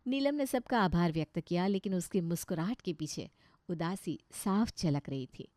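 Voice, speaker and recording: A woman's voice: on the low side, animated, normal speed, warm tone, no room sound. Woman narrating a story, dry sound. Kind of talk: scripted narration.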